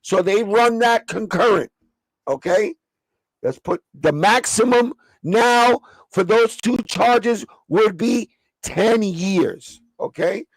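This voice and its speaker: Raspy New guy streaming. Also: sits high, slow pace, no echo, low-quality recording. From New York. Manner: streetwise, profane, explaining step by step. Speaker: livestream host. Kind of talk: casual livestream chat.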